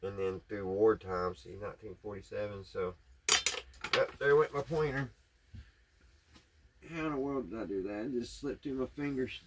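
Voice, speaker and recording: A man's voice: on the low side, moderate pace, long pauses, slight background noise, poor audio. A man talks through hands-on repair work. His delivery is casual and folksy, like a hobbyist vlogger. He often muses aloud to himself while addressing his viewers.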